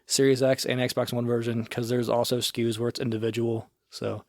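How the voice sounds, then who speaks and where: A man co-hosting a casual podcast, talking in a relaxed, rambling way with some swearing. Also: mid-range voice, normal speed, no echo, studio quality. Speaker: podcast co-host. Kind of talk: casual chat.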